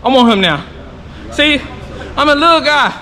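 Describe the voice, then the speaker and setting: A man trash talking and bantering loudly while sparring in a large boxing gym, cocky and profane. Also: very high-pitched, expressive, moderate pace. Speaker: boxer and vlogger. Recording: echoey gym with background noise.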